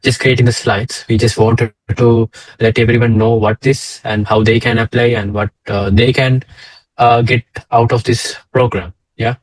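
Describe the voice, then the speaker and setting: A man's voice: medium pitch, level tone, normal speed, no room sound, poor audio. A young man from Sri Lanka co-hosting a casual slide talk over a video call, hesitant with many fillers and restarts. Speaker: co-host, data engineer. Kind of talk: casual presentation chat.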